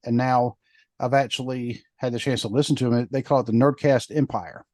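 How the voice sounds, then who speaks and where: A man's voice: mid-range voice, fairly even intonation, a little fast, darkish tone, no echo, studio quality. A man co-hosting a podcast, chatting in a rambling way with lots of fillers. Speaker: podcast co-host. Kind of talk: casual podcast chat.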